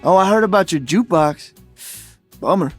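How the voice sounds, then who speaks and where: A man's voice: high voice, normal speed, soft background music, lightly acted. An actor voicing an upbeat, cocky young male DJ hero in a video game, breezy, exuberant and slangy. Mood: upbeat, friendly. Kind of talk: video game character voice lines.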